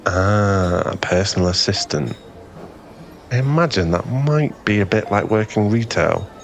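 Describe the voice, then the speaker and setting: A man acting as a friendly young guy with a fairly low voice, chatting in an ASMR boyfriend roleplay. He is easily flustered, rambling and self-effacing in a soft-hearted way. Some ambient noise sits behind him. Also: expressive, unhurried, gravelly. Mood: sympathetic, reassuring.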